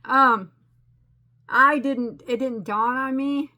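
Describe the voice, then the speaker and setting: A woman talks casually about her crafting, her voice bright and a touch high. She rambles and restarts, joking about her own rookie mistakes. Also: fairly even intonation, slow pace. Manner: rambling and self-deprecating, with frequent restarts and laughs. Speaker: crochet channel host. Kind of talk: casual chatty crafting update.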